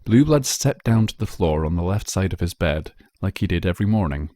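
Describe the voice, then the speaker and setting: Deep-voiced man narrating a story. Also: expressive, fairly quick, warm tone, dry recording, studio quality. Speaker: narrator, fan fiction reader.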